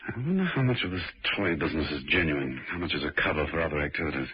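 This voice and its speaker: A deep-voiced gentleman secret agent in a vintage radio drama. He is urbane, wry and nonchalant, with lively intonation, on a slightly hissy old recording. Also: fast, dull audio.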